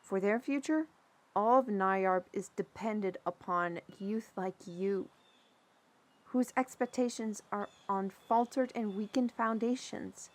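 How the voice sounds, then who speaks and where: A woman's voice: mid-range voice, slow, close to an everyday voice. A woman acting an outspoken, resentful woman in a fantasy audio drama, speaking in preachy speeches. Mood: bitter, lecturing.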